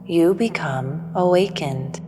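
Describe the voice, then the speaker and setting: An actress playing a serene, preachy female alien spiritual teacher in an audio drama, speaking in a solemn, measured way. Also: low-pitched. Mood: serene, instructive. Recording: long reverb, music underneath.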